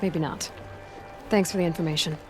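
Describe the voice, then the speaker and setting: An actress playing a young female hunter who asks calm, curious questions in a game cutscene. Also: sits low, a little fast, over music, close to an everyday voice.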